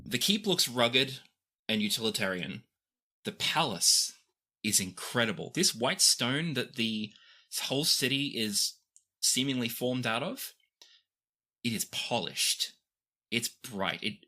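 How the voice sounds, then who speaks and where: A game master narrating a tabletop roleplaying game off the cuff, describing scenes expressively with pauses. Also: mid-range voice, bright, forceful tone.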